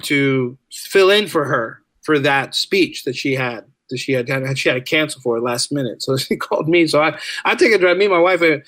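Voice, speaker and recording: Give this a middle-aged man with a bright, forceful voice pitched a little high. He talks like a podcast guest, informal and chatty, circling back over phrases for emphasis. The sound is close and dry. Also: lively intonation, moderate pace, few pauses, no echo. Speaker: podcast guest, teacher and writer. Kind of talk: casual conversational storytelling.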